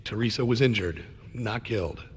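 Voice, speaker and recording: An earnest former agent giving testimony in a game drama. His voice is husky and his delivery terse, guarded and matter-of-fact. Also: fairly low pitch, lively intonation, normal speed. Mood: insistent. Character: earnest male ex-agent testifying under questioning. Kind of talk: dramatic video game cutscene acting.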